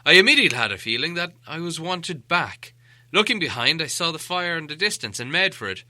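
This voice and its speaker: A man's voice: a little high, big pitch swings, fairly quick, bright, forceful tone, dry recording, pitched above the performer's own voice, full character voice. A man voicing a commanding old wizard from a storybook, testy and emphatic, lecturing as he goes. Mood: explaining, stern. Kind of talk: acted audiobook dialogue.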